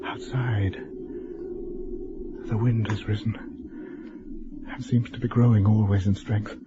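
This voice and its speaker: An actor playing a frightened, educated man in a radio horror drama, speaking formally and haltingly as he writes a letter. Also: lowish voice, close to an everyday voice. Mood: uneasy, restrained. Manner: formal, measured, halting delivery with weighted pauses. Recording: dull, lo-fi, noisy radio drama recording.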